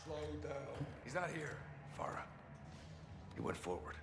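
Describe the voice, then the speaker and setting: An actor playing a weary, steady soldier in a video game, giving terse, grave reports. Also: male voice, medium pitch, measured pace, vocal fry, noisy background. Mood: cautious. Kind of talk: cinematic game acting. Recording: roomy game cutscene under loud music.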